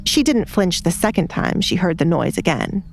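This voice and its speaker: A woman narrates a romance audiobook in a fairly low voice. Her scripted storytelling sits over a light bed of music. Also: big pitch swings, normal speed, gravelly. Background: background music.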